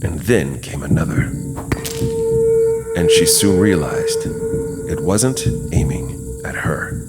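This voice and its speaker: A man narrating an audio drama in a measured, suspenseful, dramatic storytelling manner. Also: rumbling low, expressive. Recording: long reverb, music behind.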